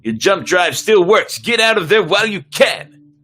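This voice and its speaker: Man acting out a melodramatic, animated starship crewman. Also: high voice, normal speed, voice pushed up, heavily acted. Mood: defiant. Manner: melodramatic, emphatic. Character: bold, stubborn male starship captain and crew. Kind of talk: dramatic reading of sci-fi audio drama.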